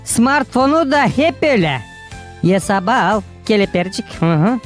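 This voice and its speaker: A woman's voice: medium pitch, lively intonation, moderate pace, lightly acted. A woman voicing a teasing, scolding village woman in a cartoon, full of exclamations. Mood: mock scolding. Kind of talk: cartoon character dialogue. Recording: music behind the dialogue.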